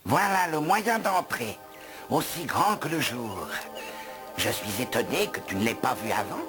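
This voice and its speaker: A man voicing a grumpy, gluttonous house elf in an animated film dub, with a theatrical, grumbling, wry delivery. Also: mid-range voice, a little slow, over music. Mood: impatient, instructive.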